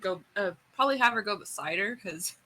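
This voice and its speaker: Woman, game player, bright forward voice, husky texture, casual in-game chat. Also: medium pitch, expressive, a little fast.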